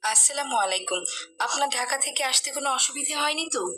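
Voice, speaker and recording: Brief: female actor as long-suffering devout cartoon mum, bright tone, some background noise. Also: sits high, animated, quick pace. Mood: gentle. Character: pious, worried, long-suffering mother in a moral cartoon. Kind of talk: cartoon dialogue.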